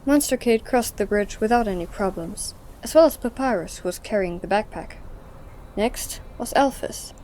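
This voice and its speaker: Mellow woman narrating a story. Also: mid-range voice, lively intonation, moderate pace, slight background noise.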